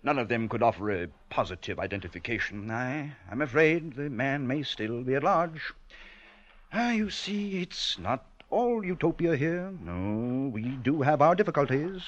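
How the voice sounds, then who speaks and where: An actor playing a middle-aged, genteel and evasive wealthy patriarch with a sinister calm and hesitant, politely bland speech, in 1940s radio drama style. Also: male voice, mid-range voice, moderate pace, natural voice. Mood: bland, smug. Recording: muffled vintage radio recording.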